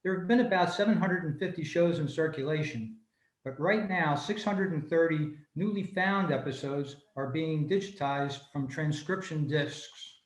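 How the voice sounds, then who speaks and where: A man's voice: on the high side, normal speed. A man reading a prepared informational talk as a presenter at an online club meeting, recorded over a video call. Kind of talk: scripted informational talk, read aloud. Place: room, remote video meeting. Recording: echoey room on a video call.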